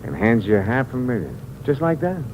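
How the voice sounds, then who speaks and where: A man's voice: mid-range voice, sing-song, moderate pace, a little mellow, close to an everyday voice. An actor playing a hard-boiled drifter who tells his own story in terse, wry narration. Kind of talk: 1950s radio drama acting. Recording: noisy old radio recording.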